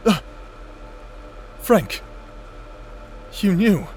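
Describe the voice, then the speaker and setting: A man as an urgent, noble prisoner, lightly high-voiced. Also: big pitch swings, drawn-out words, darkish tone, slight background noise, exaggerated voice. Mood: desperate pleading. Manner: earnest, urgent. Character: noble, pleading male prisoner, protective of his friend. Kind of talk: audio roleplay drama acting.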